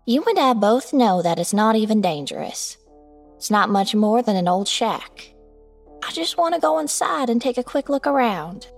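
A woman's voice: fairly high pitch, animated, moderate pace, soft background music, pitched above the performer's own voice. A woman voicing an eager young girl in a horror story, coaxing and persuading like a little schemer. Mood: persuasive. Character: eager, scheming young girl pestering her older brother.

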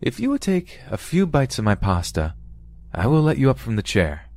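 A man's voice: medium pitch, huge pitch swings, moderate pace, a little mellow, no echo, voice pushed up. A man voicing a genial, unnervingly comfortable male kidnapper who loves to cook, coaxing in a theatrically pleasant way in a narrated story.

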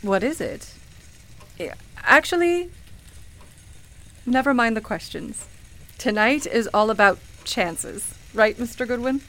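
A woman playing a nostalgic, wonderstruck shop customer in a radio play, with a hesitant, halting delivery. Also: on the high side, expressive, fairly bright tone. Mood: accepting.

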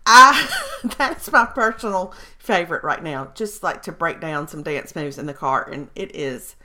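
A woman in her forties co-hosts a chatty podcast. Her voice is bright and sharp, and her pitch swings up and down a lot as she tells stories. She laughs often. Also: a little slow. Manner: animated storyteller, laughs often. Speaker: podcast co-host. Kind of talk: casual chat.

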